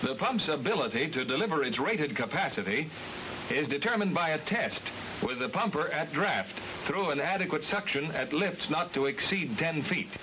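A man's voice: a little high, normal speed. Man narrating an old training film. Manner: steady, matter-of-fact instruction. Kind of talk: scripted instructional narration. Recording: hissy old film transfer.

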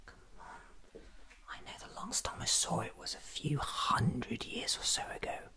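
A man in his thirties doing an ASMR roleplay as a scatterbrained, excitable alien doctor, muttering to himself in fragments, often in a whisper. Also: mid-range voice, measured pace. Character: scatterbrained, excitable time-travelling alien doctor, newly regenerated. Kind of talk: ASMR roleplay acted as a monologue.

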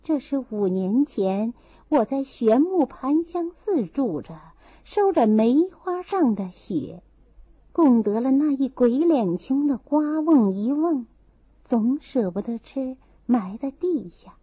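A woman voicing a proud, fastidious, aloof young nun in a novel reading, with a haughty, cutting manner. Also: sits high, lively intonation, breathy edge, dry recording, voice pushed up, full character voice. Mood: cool, disdainful. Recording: slightly dull, muffled recording.

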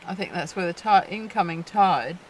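A woman's voice: on the low side, moderate pace. An older woman, making hesitant remarks off camera. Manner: quiet, hesitant asides from off camera. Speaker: family member on the trip. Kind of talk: casual remarks. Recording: distant voice with wind noise.

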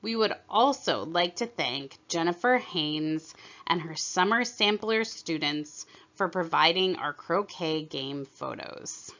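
Slow, bright-voiced woman reading aloud. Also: on the low side, expressive, muffled recording. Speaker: storyteller reading aloud for a museum. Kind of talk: scripted children's story narration.

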